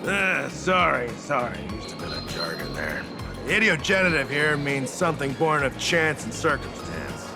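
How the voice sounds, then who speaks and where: A pedantic, apologetic man in a video game, chattily explaining. His mid-pitched voice has wide intonation, with music playing behind. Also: big pitch swings, moderate pace, vocal fry. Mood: explanatory, easygoing. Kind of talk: video game voice acting, exposition.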